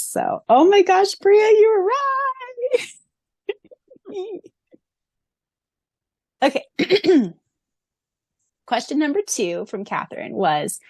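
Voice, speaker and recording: A woman's voice: a little high, very animated, slow pace, long pauses, darkish tone, slightly rough, no room sound, clean studio sound. A woman co-hosting a podcast, gushing and reading fan answers aloud between her own theories. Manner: bubbly, gushing, theorizing eagerly. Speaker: podcast co-host.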